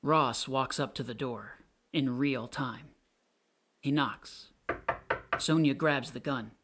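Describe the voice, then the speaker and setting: A man's voice: medium pitch, slow, noisy background. A man reading stage directions as scripted narration for a play.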